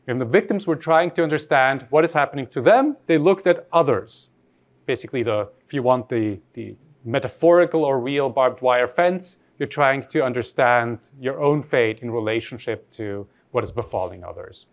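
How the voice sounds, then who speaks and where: A man's voice: mid-range voice, lively intonation, normal speed. A man from Austria, giving an academic lecture in a thoughtful way, often rephrasing mid-sentence and putting questions to his audience.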